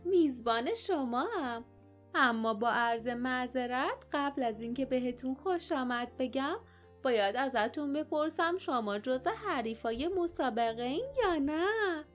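A woman voicing a cheerful, plump middle-aged innkeeper in an audiobook, speaking in a loud, friendly, bustling way. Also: sits high, animated, soft background music.

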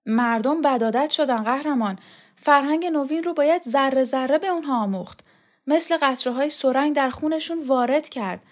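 A woman voicing an earnest, anxious young male student who pleads quickly. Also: sits high, pitched above the performer's own voice, natural voice.

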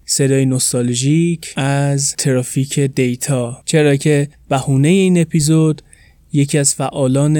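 A man's voice: mid-range voice, mellow, dry recording. A man with an Iranian Persian accent narrating a scripted podcast as its host.

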